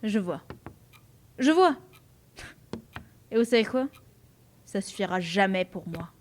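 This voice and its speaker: A woman's voice: medium pitch, expressive, slow pace. A woman playing a bitter, sarcastic woman in her twenties, blunt and sardonic, in a French audio drama. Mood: bitter, angry. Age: 20s.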